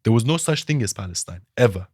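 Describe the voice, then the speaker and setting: A man's voice: fairly low pitch, animated, quick pace, darkish tone, breathy edge, no room sound, studio quality. A man from Iran, a political analyst guest, arguing his views emphatically with rhetorical questions in a podcast interview.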